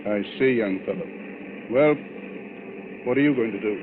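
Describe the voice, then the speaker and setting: An actor playing a blunt, gruff older tycoon in 1940s radio drama style. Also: male voice, lowish voice, moderate pace, lightly acted. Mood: grave, questioning. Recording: tinny, noisy old radio broadcast.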